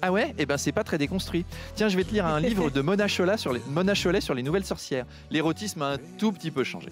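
Animated, mocking comedy columnist, man. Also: fairly high pitch, soft background music. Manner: quick, mocking, playful delivery with frequent laughs. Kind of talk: satirical comedy chronicle read on air. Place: TV-radio studio. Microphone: desk microphone on a boom, close.

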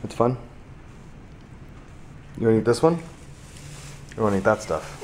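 A man's voice: lowish voice, expressive, normal speed, darkish tone. A young man filming his family at home, playfully quizzing his daughter with questions. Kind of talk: casual family vlog chat. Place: home kitchen, indoors. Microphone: handheld camera. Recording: noisy home camera audio.

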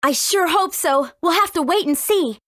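A woman's voice: very high voice, animated, normal speed, a bit bright, no room sound, studio quality. A woman playing a spirited, earnest young girl adventurer in a video game, speaking expressively. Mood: curious.

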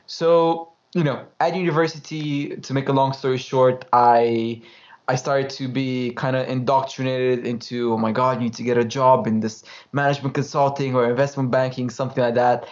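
A man's voice: mid-range voice, flat delivery, moderate pace, darkish tone. A young man from Romania, telling his story as an interview guest in a chatty, informal way over a video call. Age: young adult. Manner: chatty, laughs easily, loose and informal. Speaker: guest, course creator. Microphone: headphones, video call. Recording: slightly dull call audio.

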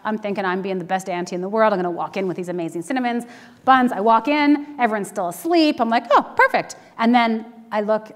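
A middle-aged Canadian woman pastor giving a conversational sermon with personal stories, informal, candid and animated. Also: medium pitch. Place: church sanctuary, speaking to a congregation. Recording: reverberant church hall.

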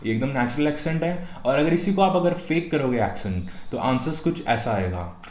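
A man's voice: mid-range voice, moderate pace. A young man from India with an Indian accent, teaching exam tips in a video lesson and stressing each tip title. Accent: Indian English. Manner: energetic, punches each tip title louder. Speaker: test-prep tutor. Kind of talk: scripted video lesson, Hindi-English mix. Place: small room at a desk. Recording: echoey room.

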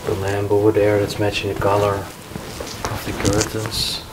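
A man's voice: lowish voice, monotone, measured pace, background noise. A young man casually narrating a walk through an abandoned house, curious and pointing things out as he goes. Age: young adult. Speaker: urban explorer.

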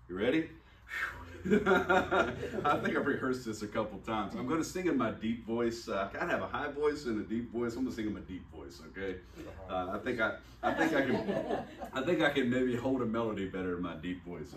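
A man preaching a sermon, teaching in a folksy, joking way and laughing often. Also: lowish voice. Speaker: pastor. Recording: roomy church hall, distant mic, faint hiss.

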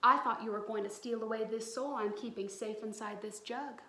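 A woman voicing a bold, quick-witted young country girl in a storybook, sweetly polite and cunning. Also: a little high, steady tone, pushed and bright. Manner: pleading, sweetly cunning folksy talk. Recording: roomy echo, some background noise.

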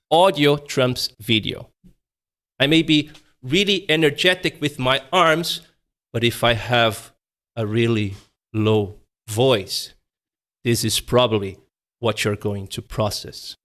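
A man in his thirties hosting a live webinar over a video call, talking casually and raising and lowering his energy to make his points. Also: a bit bright. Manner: shifts voice energy up and down to demonstrate, conversational. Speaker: webinar presenter. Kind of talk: live online webinar talk. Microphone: video call webcam.

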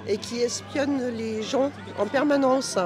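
A woman protester answers questions out in a city square during a carnival. She is hesitant and fills her pauses, and she talks into a handheld mic with music playing behind her. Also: high-pitched, crowd noise. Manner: hesitant, many fillers. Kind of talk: street interview answer. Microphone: handheld mic held out to her.

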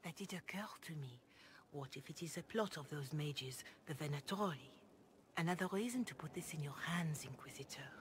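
A woman voicing a devout, compassionate older cleric in a video game, speaking in a formal, measured, earnest way. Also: deep voice, lively intonation. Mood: grave, cautious. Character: grave, devout, compassionate older Chantry mother. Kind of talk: video game dialogue acting. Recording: clear background noise and ambience.

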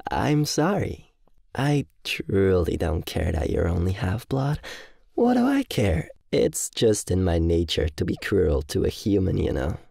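A man's voice: low voice, huge pitch swings, measured pace, vocal fry, mellow, breathy edge, dry recording, studio quality, exaggerated voice. A man playing a cruel, smug young demon bully who drawls tauntingly in an audio roleplay. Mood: feigned sincerity. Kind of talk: ASMR audio roleplay acting.

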